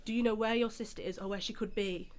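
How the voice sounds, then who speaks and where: A woman playing a firm, formal police interrogator in an audio drama, with a measured official manner. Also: medium pitch, steady tone, natural voice. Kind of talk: dramatic audio scene acting. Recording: clear background noise.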